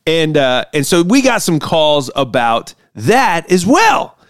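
A man, a pastor and show host, chatting casually and telling stories in an animated way, acting out quotes. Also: a little high, slow, dry recording, studio quality. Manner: animated, acts out quotes mockingly. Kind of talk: casual chat and storytelling.

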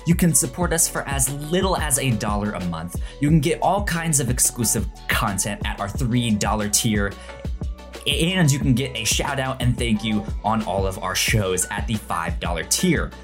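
Very low-voiced woman, animated podcast host. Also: normal speed, music in the background. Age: 30s. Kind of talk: casual chat and informal plugs.

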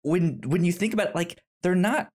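A podcast host chatting casually about films in a halting, stammering way, often repeating words. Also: mid-range voice, a little mellow, breathy edge. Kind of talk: casual film review chat.

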